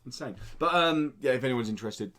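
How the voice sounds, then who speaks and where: British man, podcast host, medium pitch, informal banter, teasing. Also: lively intonation, fairly quick, a bit bright.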